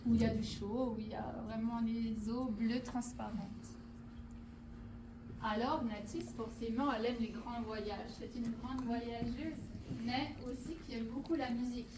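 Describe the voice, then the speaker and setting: A woman's voice: a little high, fairly even intonation, measured pace, noisy background. A woman painter from Portugal guiding visitors through her exhibition, speaking hesitantly with many fillers and restarts. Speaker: painter presenting her artworks. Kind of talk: guided exhibition walkthrough. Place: exhibition hall. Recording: roomy hall, speaker far from the mic.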